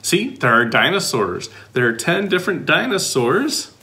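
A man's voice: on the high side, sing-song, moderate pace, sharp tone. A middle-aged man reading a children's picture book aloud expressively, with sing-song questions and playful asides. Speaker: volunteer storybook reader.

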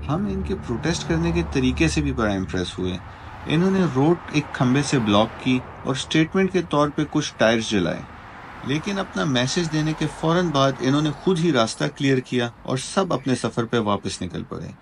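A man narrating a travel vlog in a calm, informative scripted voice-over. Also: mid-range voice, moderate pace, slight background noise, poor audio. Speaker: travel vlogger. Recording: voice-over with loud background music.

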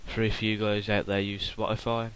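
A young man casually talking through a software tutorial while recording his screen, rambling with fillers and restarts. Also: fairly low pitch, monotone, normal speed, smooth.

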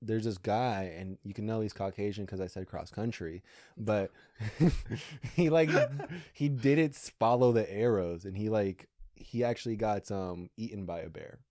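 A young man from Alaska, a guest comedian, chatting casually on a podcast in a small studio, talking in halting, rambling stretches and laughing often. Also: on the low side, mellow, no echo. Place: small studio with brick backdrop. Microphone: desk mic on boom arm.

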